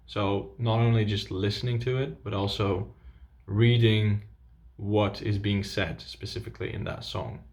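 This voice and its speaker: A young man whose first language is Dutch, presenting an upbeat video lesson with tips for viewers. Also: medium pitch. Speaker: language coach.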